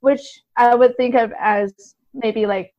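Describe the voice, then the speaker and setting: A woman's voice: a little high, fairly even intonation, slow. A woman guest, a literary translator, explaining things in an interview in a hedging, conversational way. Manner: explanatory, hedging with many softeners. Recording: dull, lo-fi audio.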